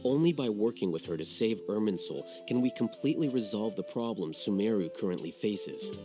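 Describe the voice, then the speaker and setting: A calculating, aloof young scholar acted by a man from a video game. His voice is on the low side, articulate and composed, delivering each point with measured certainty. Music plays clearly behind him. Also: expressive, lightly acted. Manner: measured, matter-of-fact, articulate.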